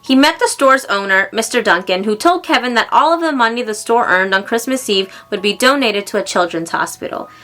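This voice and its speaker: A woman reads a children's storybook aloud in an expressive way, acting out the exclamations and dialogue. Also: a little high, brisk, few pauses, soft background music. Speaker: storybook reader.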